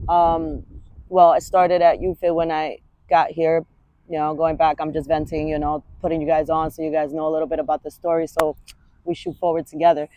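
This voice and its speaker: A woman's voice: low voice, dark tone, dry recording, lo-fi sound. Candid, swearing New woman coach. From Washington Heights, New York. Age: 30s. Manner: candid, rambling, swears freely. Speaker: fitness coach. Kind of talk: casual vlog monologue.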